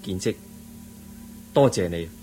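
An actor playing a calm, resolute young prince, gentle and earnest, in a radio drama. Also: male voice, deep voice, slow pace, faint music behind, rough recording. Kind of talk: Cantonese radio drama acting.

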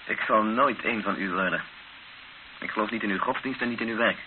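A hissy, narrow old radio drama recording carries an actor as a skeptical, bitter hostage. His voice is fairly low, and he speaks formally, as someone who challenges and argues. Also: fairly quick, close to an everyday voice. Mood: bitter, challenging. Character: bitter, skeptical man held hostage, narrating his ordeal. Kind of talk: radio drama acting and first-person narration.

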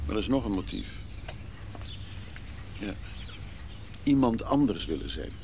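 A laconic travelling companion with a low voice. He speaks in short, tender, restless lines, in an understated radio play with plenty of noise and outdoor sound behind him. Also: sing-song, a little slow. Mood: wistful. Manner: terse, short replies and questions. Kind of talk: understated radio drama acting.

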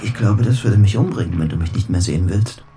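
An actor playing an obsessive, agitated lovesick man with a secret in a radio play, speaking haltingly and intensely. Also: low voice, background noise, natural voice. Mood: pleading, desperate. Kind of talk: German radio play acting.

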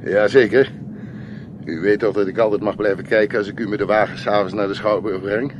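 An actor in a Dutch radio play playing a loyal, deferential chauffeur who chats plainly and searches for words. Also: male voice, on the low side, fairly even intonation, a little fast, dull audio, background noise, close to an everyday voice. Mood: deferential, friendly.

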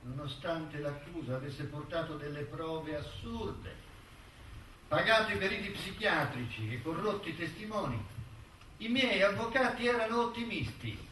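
A defiant male intellectual recounting his trial in a stage drama. The high voice moves animatedly and stresses key phrases with deliberate emphasis. It sounds distant, in an echoing room. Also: expressive, sharp tone. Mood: incredulous, bitter. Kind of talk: theatrical monologue. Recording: roomy, distant stage recording, faint noise.

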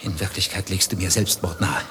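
An actor playing a jealous, menacing husband in a radio play, commanding yet theatrically polite. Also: male voice, low voice, a little fast, lightly acted. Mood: cold menace. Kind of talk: German radio play acting. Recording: clear background noise and ambience.

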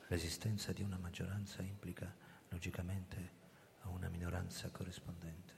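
A man's voice: deep voice, completely flat delivery, moderate pace, gravelly, noisy background. An actor playing a tense, brooding fugitive who recounts his flight in a theatrical radio drama style. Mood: hushed, pondering. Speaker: lead actor and narrator. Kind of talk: dramatic first-person radio drama narration. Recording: reverberant hall, music over the voice. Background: prominent musical instruments.

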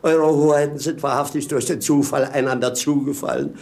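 An actor playing a lazy, roguish jester in a radio play, declaiming with teasing mock solemnity. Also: male voice, a little high, fairly even intonation, brisk, a little mellow, lightly acted. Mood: gleeful mockery. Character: mocking, lazy, roguish jester companion of a prince. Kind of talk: classic German radio play acting.

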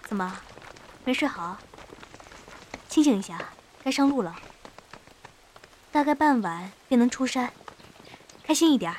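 Woman as a kindly young village girl, highish voice. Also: expressive, measured pace, long pauses, a little mellow, a little hiss or hum. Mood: cheerful. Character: friendly, naive young village woman travelling as a man. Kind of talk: Chinese costume audio drama acting.

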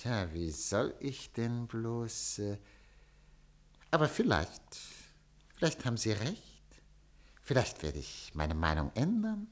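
Theatrical, animated newspaper boss. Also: male voice, medium pitch, takes it slowly, pauses often. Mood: evasive, feigned puzzlement. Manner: theatrical, swinging between evasive murmur and urgency. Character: shrewd, excitable male newspaper director chasing scoops. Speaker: newspaper chief. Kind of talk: radio drama acting.

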